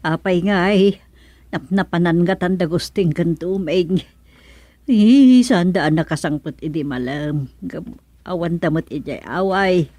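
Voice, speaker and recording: A woman's voice: lowish voice, a little slow, warm tone, dry recording. A woman playing a fretful housewife in a comedic radio drama, talking to herself in a sighing, pleading manner. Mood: worried.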